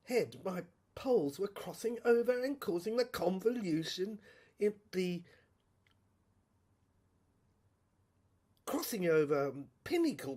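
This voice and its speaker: A woman playing a troubled, introspective character in an audio drama monologue, halting, repeating herself and searching for words, with sudden hushed drops. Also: on the low side. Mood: feverish, musing. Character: troubled introspective woman obsessively recounting her inner mental breakdown. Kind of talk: dramatic first-person monologue for a serial audio play.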